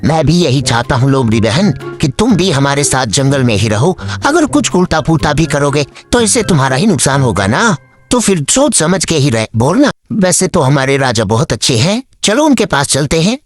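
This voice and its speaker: Guy playing streetwise cartoon monkey, friendly and frank, really animated highish voice. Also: normal speed, soft background music. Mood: friendly advising. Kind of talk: animated children's animal story.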